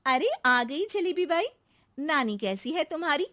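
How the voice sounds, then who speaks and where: A woman voicing a sensible, wry and firm housewife in an expressive style for a comic animated story. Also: high-pitched, unhurried, pitched above the performer's own voice, exaggerated voice. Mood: puzzled, impatient.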